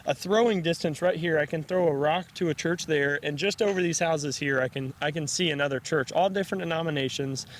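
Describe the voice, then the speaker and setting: A man from Florida joins the conversation as co-host, talking in a slightly high voice. His delivery is animated and easy, with light hiss in the background. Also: brisk, few pauses. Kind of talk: casual chat.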